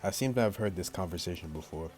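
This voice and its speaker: A man's voice: deep voice, fast. A man doing a let's play of a visual novel, reading the text aloud and tossing in slangy, jokey asides over game music. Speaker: gaming YouTuber. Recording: music behind the voice.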